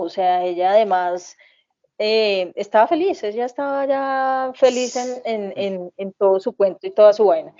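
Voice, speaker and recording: A woman tells family stories in loose, rambling conversation, laughing often and leaning on fillers. Her pitch sits in a middle range. The audio is a little muffled. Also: mellow. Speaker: podcast guest, mother recounting family migration. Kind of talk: casual conversational storytelling. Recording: slightly muffled podcast audio.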